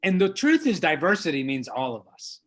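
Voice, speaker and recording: A man talks in a conversational interview, earnest and measured, with firm emphasis when he makes a point. His voice sits fairly high for a man. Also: big pitch swings, a bit bright. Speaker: author, lawyer and diversity trainer.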